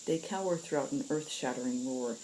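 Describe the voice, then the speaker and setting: Very low, mellow-voiced woman storyteller. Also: brisk, slight background noise, poor audio. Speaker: host reading stories aloud. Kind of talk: casual introduction and expressive story reading.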